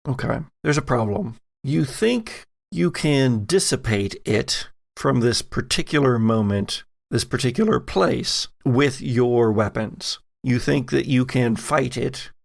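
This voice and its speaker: A man with a gravelly voice narrating a tabletop horror game as game master, deliberate and dramatic in his storytelling. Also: mid-range voice, lively intonation, no echo, clean studio sound.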